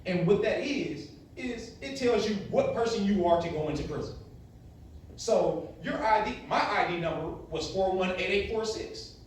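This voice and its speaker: A man's voice: high voice, normal speed. A man in his late thirties giving a blunt, candid talk to young people, stressing points with rhetorical questions. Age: late 30s. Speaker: motivational speaker to youth. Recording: very echoey room, far from mic, faint noise.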